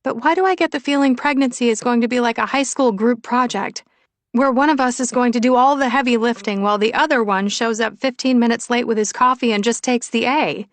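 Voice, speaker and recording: A woman's voice: a little high, a little fast, dry recording, pitched above the performer's own voice, close to an everyday voice. A woman voicing a young pregnant wife in an audiobook, sardonic but heartfelt and emotional. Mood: wry.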